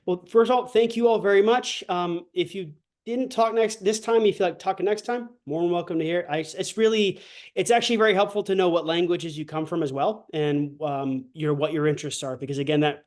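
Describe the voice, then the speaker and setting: Male instructor, high voice, easygoing and halting, video-call sound. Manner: relaxed, halting, many fillers. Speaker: language instructor. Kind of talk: online class instruction.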